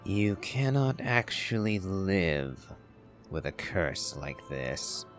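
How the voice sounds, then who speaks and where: Low, slow man musing over a game. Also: very animated, soft background music. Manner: musing, thinking aloud. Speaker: game player. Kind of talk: casual commentary while playing a game.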